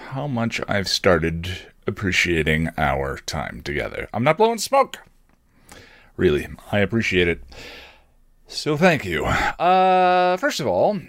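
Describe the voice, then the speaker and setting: A man's voice: mid-range voice, fairly bright tone, dry recording. A man hosting a casual solo podcast, rambling and interrupting himself with digressions and dry, self-deprecating humor. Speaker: podcast host.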